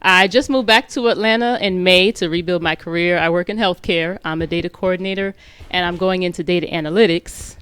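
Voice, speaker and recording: A woman's voice: lowish voice, brisk, a bit bright, clear, resonant tone. A woman in her early thirties from Florida, introducing herself casually as a panel guest. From Orlando, United States. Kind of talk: casual spoken self-introduction.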